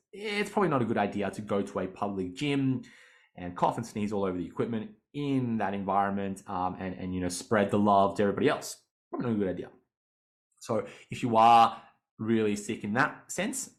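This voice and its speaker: A man, a fitness coach giving casual, animated podcast advice and laughing easily. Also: fairly low pitch.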